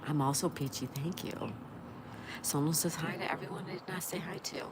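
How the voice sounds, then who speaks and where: A woman with a lowish voice and a congested, stuffed-up nose chats casually on a livestream. She greets viewers by name and answers their comments as they come in. Loud background noise runs under the whole recording. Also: brisk. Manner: greets viewers by name and reads chat aloud. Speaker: livestream host. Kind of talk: casual live chat with viewers.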